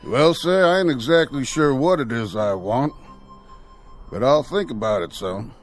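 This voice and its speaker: An actor playing a ghostly old-west outlaw who talks in a slow frontier drawl. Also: male voice, mid-range voice, animated, close to an everyday voice. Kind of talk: radio drama acting. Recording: radio drama with music behind.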